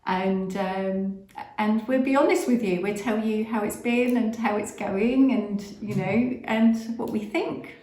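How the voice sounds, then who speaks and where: A middle-aged woman from the UK chats with her partner for their channel, circling back and restarting her sentences. Her voice sits at an ordinary pitch for a woman, and the room gives it a noticeable echo. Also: unhurried, warm tone. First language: English. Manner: chatty, rambling with restarts. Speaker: vlog co-host. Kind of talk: casual chat. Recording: echoey room.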